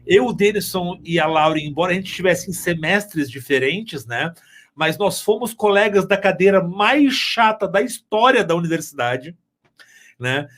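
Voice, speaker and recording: A man's voice: sits high, animated, normal speed, a bit bright. A man chatting casually, rambling and joking as he goes.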